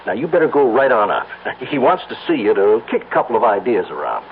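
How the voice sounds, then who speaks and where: A man's voice: lowish voice, sing-song, fast talker, creaky, a little hiss or hum. An actor playing a breezy, chummy male photographer colleague in a 1970s radio drama. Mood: reassuring. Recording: phone-like old radio broadcast with music.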